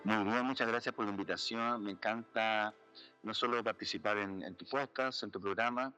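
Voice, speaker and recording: Chilean man, bright voice, lots of fillers. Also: fairly low pitch, steady tone, moderate pace, faint music behind. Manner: thoughtful, many fillers like "eh", "digamos", "¿cierto?". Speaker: guest, jurist and philosopher. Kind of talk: casual interview talk. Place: outdoors in a city neighborhood.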